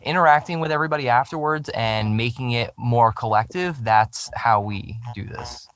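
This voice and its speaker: A man's voice: medium pitch, normal speed, fairly bright tone, a little hiss or hum. A man in his late twenties, a podcast co-host speaking bluntly and wryly in casual chat. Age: 20s.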